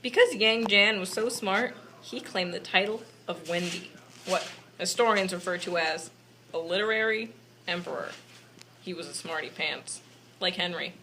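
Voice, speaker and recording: A woman's voice: lowish voice, normal speed, bright, forceful tone, low-quality recording. A woman playing a patient puppet history teacher, delivering a scripted lesson to an unruly class in an amateur show. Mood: instructive. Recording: faint background noise, amateur recording.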